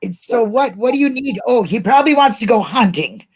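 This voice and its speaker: A woman's voice: a little high, lively intonation, brisk, darkish tone, breathy edge, rough recording. Outspoken, emotional woman hosting a livestream. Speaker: host of an online livestream show. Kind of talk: casual, informal discussion.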